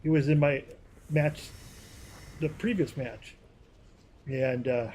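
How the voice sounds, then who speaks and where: A man chatting casually while live-streaming a game, rambling with many fillers, with a somewhat high, harsh voice. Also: fairly even intonation, takes it slowly, darkish tone, slight background noise. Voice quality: higher, harsher voice.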